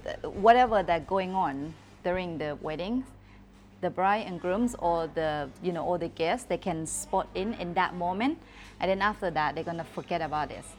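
A woman with a Thai accent, not a native English speaker, talking candidly as a guest photographer and often restarting her sentences. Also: fairly low pitch. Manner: candid, halting, with many restarts and fillers. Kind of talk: casual podcast chat.

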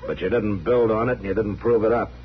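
Guy playing stern old west marshal, american, low firm voice, terse orders, muffled noisy old radio show. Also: lightly acted. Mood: calm, unyielding. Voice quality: deep, firm voice. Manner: terse, authoritative, unhurried commands. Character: stern, steady frontier marshal keeping order. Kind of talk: 1950s radio western acting.

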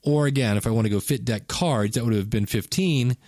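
A man's voice: medium pitch, a little fast, a little mellow, dry recording, clean studio sound. A man in his fifties hosting a casual solo podcast, talking in a rambling, chatty way and correcting himself as he goes. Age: 50s. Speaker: podcast host.

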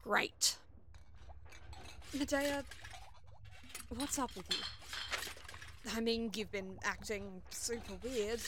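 A woman playing a frustrated, sarcastic young spacefaring heroine in an audio drama, expressive as she acts and narrates her own adventure. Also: fairly high pitch, drawn-out words, long pauses, background noise. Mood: wry, observing. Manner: sardonic, expressive. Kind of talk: audio drama acting with first-person narration.

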